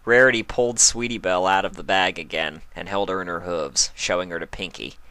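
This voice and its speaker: A man's voice: sits low, fairly quick, bright, forceful tone, clear, resonant tone. A man reading scripted story narration as the narrator of a fan fiction.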